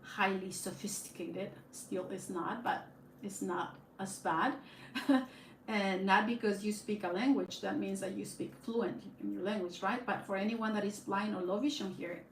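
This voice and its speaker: A woman's voice: medium pitch, fairly even intonation, normal speed. Casual livestreamer, woman, Spanish accent, rambling chat, phone on tripod in an echoey room with faint hiss. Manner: rambling, chatty, frequent fillers and restarts, laughs often. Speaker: YouTube live streamer. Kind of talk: casual live-stream chat.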